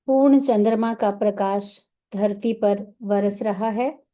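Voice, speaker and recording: Female meditation guide, Indian accent, soft-edged mellow tone, very slow delivery in short soothing phrases. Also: mid-range voice, steady tone. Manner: soothing, unhurried, instructing in short phrases with pauses.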